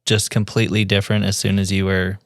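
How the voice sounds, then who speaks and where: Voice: low voice, flat delivery, fast talker, no room sound, clean studio sound. A podcast host asking brief, plain interview questions.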